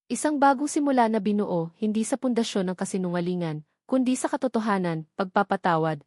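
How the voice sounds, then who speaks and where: A woman narrating a scripted love story as a storyteller, with a measured, earnest, sentimental delivery. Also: mid-range voice, a little mellow, no echo, studio quality.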